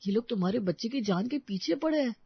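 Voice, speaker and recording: A woman's voice: on the high side, expressive, fast, breathy edge, no echo, heavily acted. Woman playing an earnest young man, muffled audio. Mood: urgent pleading. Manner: impassioned, insistent, righteous. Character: earnest, indignant young man defending a wronged widow. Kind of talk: Hindi audio story melodrama.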